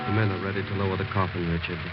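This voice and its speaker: An actor playing a sober, concerned doctor in a 1940s radio drama, grave and admonishing. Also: male voice, low-pitched, level tone, very fast, loud music behind the voice, natural voice. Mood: grave, cautioning. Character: concerned doctor friend, sober, rational, cautioning. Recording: noisy, muffled 1940s radio recording.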